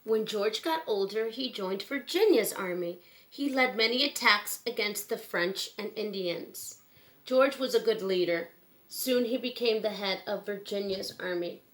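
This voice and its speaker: A woman reading a children's book aloud in a simple, measured storytelling manner with pauses. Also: mid-range voice, a bit bright, rough recording.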